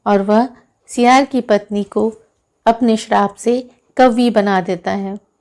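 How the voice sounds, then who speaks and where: Female storyteller for children's moral tales, medium pitch, very slow, measured delivery. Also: fairly even intonation, darkish tone.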